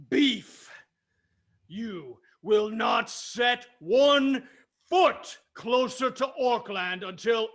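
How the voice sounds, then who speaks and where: Orc legion commander, fantasy comedy, barked orders, dragging pace, swooping delivery. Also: male voice, very high voice, fairly bright tone, full character voice. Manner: barking, bellowed orders. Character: commanding, booming orc legion leader and bloodthirsty pirate. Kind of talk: fantasy comedy table read.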